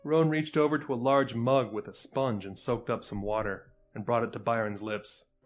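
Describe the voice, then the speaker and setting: A man's voice: mid-range voice, fairly even intonation, normal speed. A man narrating a scripted audio fiction story. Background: faint music.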